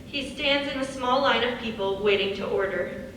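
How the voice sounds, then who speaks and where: A woman's voice: a little high, moderate pace, fairly bright tone. A woman reading stage directions as scripted narration. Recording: echoey hall, far from mic, faint noise.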